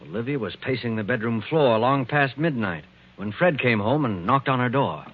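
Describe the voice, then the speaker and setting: An actor plays a calculating schemer narrating in a 1940s radio mystery. His mid-pitched voice moves widely in melody. The recording is tinny, with some noise. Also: lively intonation, moderate pace, natural voice. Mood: smug, confiding. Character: calculating schemer recounting his perfect setup.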